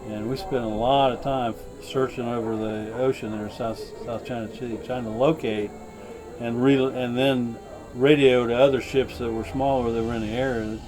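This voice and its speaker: A man's voice: medium pitch, steady tone, moderate pace, rough recording. An older man, a veteran, recalling his service in a plain, easygoing way during a documentary interview. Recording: music bed under the voice.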